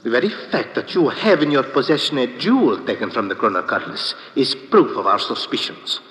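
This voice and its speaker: An actor playing a haughty nationalist newspaper editor, formal and declamatory, in an old radio drama. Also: male voice, medium pitch, very animated, brisk, a bit bright. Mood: scornful, accusing. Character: pompous, accusing nationalist newspaper editor, male. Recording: dull old radio recording, echoing hall.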